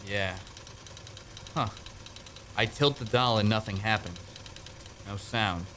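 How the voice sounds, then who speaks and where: A man's voice: on the low side, slow, pushed and bright, slight background noise. A young actor playing an earnest, nervous young man in a community radio play. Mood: relieved. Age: young adult. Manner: anxious, then frantic.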